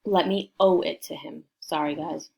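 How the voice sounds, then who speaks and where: A woman reading a picture book aloud to children in an expressive way, prompting her listeners with questions. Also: low-pitched, normal speed, gravelly, husky. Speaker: storybook reader for children.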